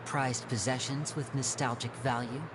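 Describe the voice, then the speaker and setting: A woman acting a suave, calculating young male stage magician in a video game, polite, measured and theatrical. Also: a bass voice, fairly even intonation, background noise. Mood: solemn, probing.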